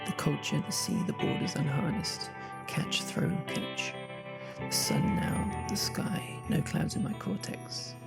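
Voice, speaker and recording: A woman with a low, creaky voice reads a spoken word poem, often dropping to a half-whisper. Music plays loudly beneath her. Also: big pitch swings, takes it slowly. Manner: hushed, half-whispered recital. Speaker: poetry reader. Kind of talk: spoken word poetry reading. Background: loud music.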